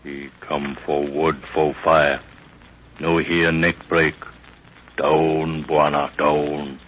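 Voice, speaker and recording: Loyal native guide in a radio play, rock-bottom voice, slow broken english, muffled noisy old recording. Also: male voice, extremely low pitch, level tone, heavily acted. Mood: stoic, watchful. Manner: broken pidgin English, short clipped phrases. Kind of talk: 1930s radio acting.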